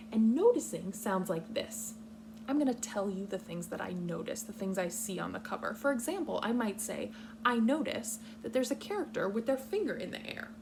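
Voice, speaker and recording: Creaky-voiced woman teaching young children. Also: medium pitch, background noise. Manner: patient, instructional, simple deliberate phrasing. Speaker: kindergarten teacher reading a picture book aloud. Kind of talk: read-aloud lesson for young children.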